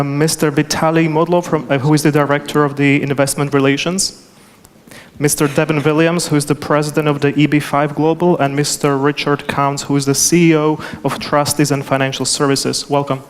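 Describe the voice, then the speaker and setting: A man with a Czech accent moderates a conference panel. He speaks formally and evenly, keeping his voice flat, smooth and clear. A long hall reverb trails his words. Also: a little high. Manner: formal, measured. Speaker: moderator, immigration attorney.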